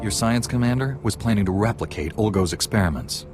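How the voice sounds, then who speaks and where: An actor playing a composed, earnest Jedi knight hero in a video game, speaking seriously and in a measured way. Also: male voice, fairly low pitch, lively intonation, music in the background, natural voice. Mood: resolute. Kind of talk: video game cutscene acting.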